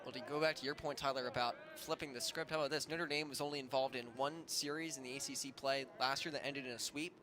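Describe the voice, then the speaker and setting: A man's voice: mid-range voice, steady tone, fast talker, hardly any pauses. A man giving conversational color commentary during a live baseball broadcast. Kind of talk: conversational live sports analysis. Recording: noisy outdoor stadium ambience.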